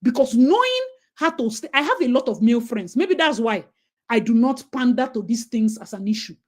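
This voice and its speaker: Animated Nigerian woman telling stories. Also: fairly high pitch, a little fast. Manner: animated storyteller, slips into pidgin. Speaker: host. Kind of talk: casual chat.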